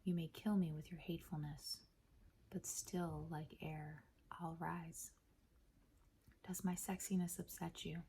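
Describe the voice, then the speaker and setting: A woman speaking softly in a breathy half-whisper, gentle and measured, as an ASMR host reading to listeners. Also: lowish voice, little pitch movement, a little hiss or hum. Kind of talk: soft-spoken ASMR poetry reading.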